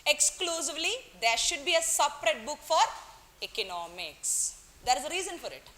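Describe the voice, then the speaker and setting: Woman, bright tense voice, playful teacher, classroom lecture. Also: sits high, big pitch swings, moderate pace. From Kerala, India. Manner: playful, teasing, coaxing students, mixes in Hindi words. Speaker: economics teacher.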